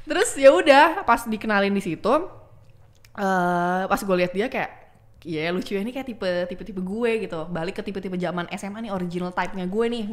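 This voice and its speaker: A woman's voice: mid-range voice, animated, brisk. A woman in her twenties with a Jakarta accent, telling stories in casual slangy chat and acting out the quotes as she goes. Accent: Jakarta Indonesian. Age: 20s. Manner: chatty, slangy storytelling with acted-out quotes. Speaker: podcast guest.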